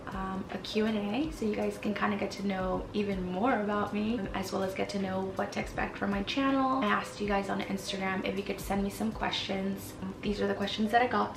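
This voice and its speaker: A woman's voice: mid-range voice, gravelly, roomy sound. Young woman vlogger with Spanish as her first language, chatty and candid, recorded from a distance with noise behind. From Mexico. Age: 20s. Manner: candid, chatty, frequent fillers. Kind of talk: casual chat to camera. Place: her apartment.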